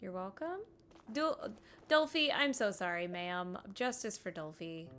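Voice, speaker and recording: Bright-voiced woman streaming a game. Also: medium pitch, measured pace, soft background music. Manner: expressive, playful, chatty. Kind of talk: casual reaction commentary on a game.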